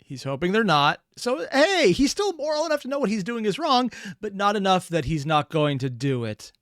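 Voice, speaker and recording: A man's voice: high-pitched, very animated, normal speed, fairly bright tone, no room sound, studio quality. A man giving casual, analytical reaction commentary, musing aloud as he thinks through what he watches. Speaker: reaction video host.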